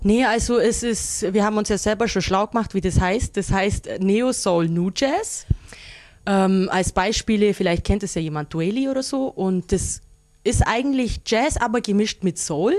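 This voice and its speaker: A woman in her twenties from Augsburg, a musician answering interview questions in a lively, giggly way. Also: mid-range voice, normal speed, dry recording. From Augsburg, Germany. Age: 20s.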